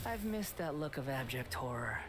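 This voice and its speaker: An actor playing a mocking young male god in a video game, speaking in a hushed, breathy half-whisper with a condescending tone. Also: fairly high pitch, sing-song, slow pace, noisy background. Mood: taunting. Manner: taunting, condescending.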